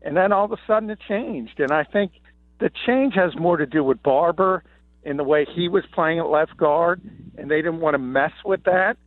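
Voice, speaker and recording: A slightly hoarse man, an NFL columnist calling in, gives confident, opinionated analysis with many "uh" fillers. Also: fairly high pitch, moderate pace, no room sound. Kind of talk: sports radio analysis by phone. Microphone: phone. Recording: tinny dry phone line.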